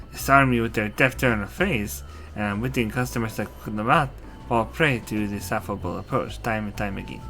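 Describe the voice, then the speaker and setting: A man voicing game dialogue in a steady, explanatory read during a playthrough. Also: lowish voice. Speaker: game narrator and voice actor.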